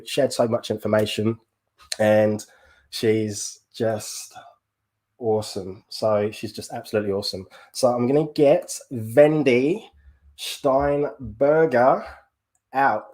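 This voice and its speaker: A man's voice: mid-range voice, a little mellow. An upbeat Englishman hosting a live podcast over a video call, enthusiastic and fond of saying "absolutely". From England. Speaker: podcast host. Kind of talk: casual live-stream chat.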